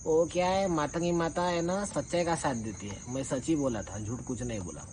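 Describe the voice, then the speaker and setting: A man's voice: fairly high pitch, moderate pace, darkish tone. A man giving an informal, colloquial rebuttal in an accusatory, confrontational manner, like someone airing a grievance. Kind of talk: informal spoken rebuttal monologue. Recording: clear background noise with insect-like chirping.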